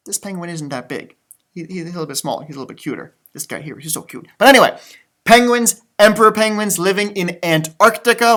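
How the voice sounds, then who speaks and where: A man's voice: sits high, a bit bright. A man reading a storybook aloud to children, chatting in exaggerated teacherly asides and repeating phrases for young listeners.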